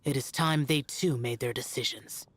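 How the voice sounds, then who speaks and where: An actor playing an aloof, solemn young male guardian in a video game, with grave, measured, formal delivery and a husky, breathy voice. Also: mid-range voice, dry recording, lightly acted. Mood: stunned, grave.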